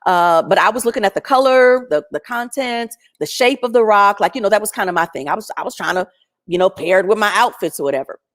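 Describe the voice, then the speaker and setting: A woman telling a personal story in a chatty, animated way, speaking over a video call in a strong, loud voice. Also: mid-range voice, quick pace. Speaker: featured guest. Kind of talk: storytelling testimony.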